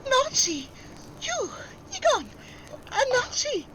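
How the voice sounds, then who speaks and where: Gullible French femme fatale: extremely high, swooping voice, passionate radio melodrama. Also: female voice, huge pitch swings, slow, heavy background noise, full character voice. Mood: shocked, anguished. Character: gullible, passionate young French female spy. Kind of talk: 1940s radio melodrama acting.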